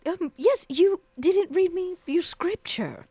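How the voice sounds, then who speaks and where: A woman's voice: extremely high pitch, lively intonation, slow pace, dark tone, dry recording. A woman playing a tabletop role-playing game, speaking in character with a teasing, flirtatious manner. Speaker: player.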